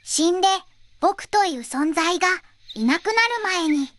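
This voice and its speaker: A woman's voice: very high voice, unhurried, dry recording. A synthetic female voice playing a small, earnest, lonely but determined boy creature in an audio fairy tale, cutesy and stilted. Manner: cutesy, stilted, ends sentences with a verbal tic.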